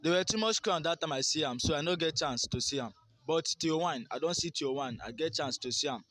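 Casting: young Nigerian male, fairly high voice, rambling and boastful street interviewee. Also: a little fast, fairly bright tone, no room sound. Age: young adult. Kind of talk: casual street interview in Pidgin. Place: outdoors by a garden wall. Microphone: phone held near the mouth by interviewer.

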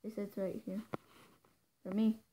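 A woman chatting casually while playing a pool game, excitable and quick to squeal and cheer at shots. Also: mid-range voice, a little hiss or hum. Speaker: gameplay co-player. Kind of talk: casual chat over a game.

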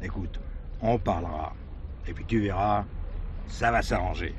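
An actor playing an evasive, weary married man in a radio play, hesitant and quick to bluster. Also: deep voice. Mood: placating. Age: middle-aged. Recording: noisy recording with background ambience.

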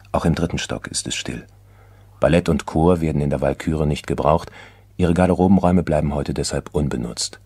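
An actor narrating a crime radio play as a sober, measured chronicler of police files. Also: male voice, a bass voice, darkish tone, breathy edge, dry recording, exaggerated voice. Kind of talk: scripted documentary crime narration.

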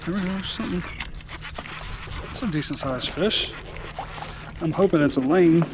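An easygoing man in his forties narrates his fishing trip, voice a touch high for a man. He talks from a small open boat far offshore, recorded on an action camera. Clear wind and water noise runs under his voice. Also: moderate pace. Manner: easygoing, chatty, talks to the fish and viewers. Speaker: fishing channel host. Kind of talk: casual fishing vlog narration.

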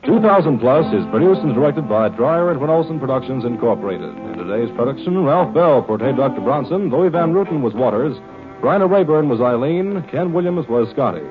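A man's voice: mid-range voice, lively intonation, fairly quick. A man announcing the next episode and credits of an old radio show. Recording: tinny old radio broadcast with music.

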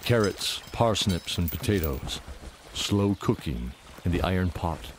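Deep-voiced man narrating fantasy. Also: lively intonation, a little slow, heavy background noise. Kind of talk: dramatic fantasy story narration.

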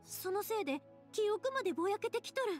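A kind, cheerful young woman from an anime game, with a high-pitched voice. She speaks in a folksy Kansai accent, earnest and expressive, over faint background music. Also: a little fast. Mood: pleading, compassionate. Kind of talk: anime game dialogue acting.

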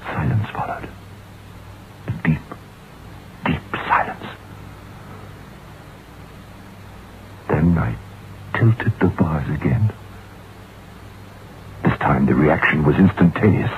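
Very deep-voiced, haunted writer. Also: male voice, animated, full character voice. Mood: hushed dread. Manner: deliberate, dramatic, suspenseful. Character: haunted male writer recounting his dread, troubled and curious. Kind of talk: old-time radio horror monologue. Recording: muffled, noisy vintage radio recording.

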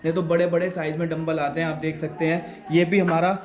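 A man in a gym equipment shop pitching his products and their prices. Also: little pitch movement, brisk, roomy sound. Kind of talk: sales pitch.

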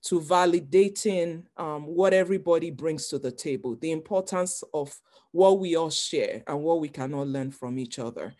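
A woman with a Nigerian accent introducing herself on a webinar panel, speaking in a measured, reflective way with fillers, over a video call. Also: fairly low pitch, a little mellow. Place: home or office on a video call.

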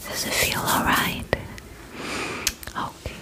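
A woman doing an ASMR spa roleplay as an attendant, speaking in a soft breathy whisper close to the mic, gentle, soothing and affectionate. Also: slow pace. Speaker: ASMR performer playing a vampire spa attendant. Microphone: close ASMR microphone.

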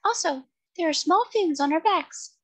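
Woman voicing a tiny, high-pitched boastful seahorse. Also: expressive, moderate pace, a bit bright. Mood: chipper, proud. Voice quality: tiny but distinct.

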